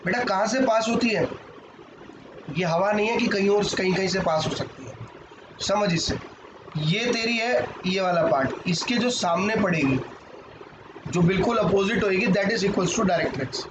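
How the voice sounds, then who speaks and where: A hoarse man from India teaches maths in a live class and keeps joking with his students. His voice sits high for a man. The recording is muffled, with steady background noise. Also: a little slow, roomy sound. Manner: banters with students, mixes Hindi and English. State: hoarse, sore throat.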